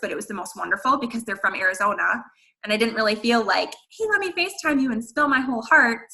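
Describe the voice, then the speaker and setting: A woman with a bright, forceful voice speaks to her team in an earnest, candid way. She encourages her listeners, with everyday fillers running through her talk. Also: medium pitch, low-quality recording. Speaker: guest speaker at a team training. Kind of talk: motivational talk to a sales team.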